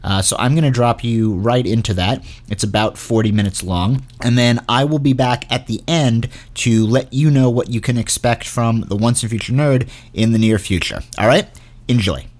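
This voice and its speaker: A man hosting a casual podcast interview, speaking in a halting, hesitant way as he introduces things and asks questions. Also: medium pitch, darkish tone.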